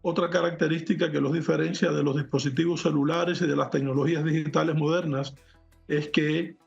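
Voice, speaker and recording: Male expert guest, didactic, measured, creaky voice, dull muffled recording, faint music behind, explanatory commentary. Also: a little high, fairly even intonation.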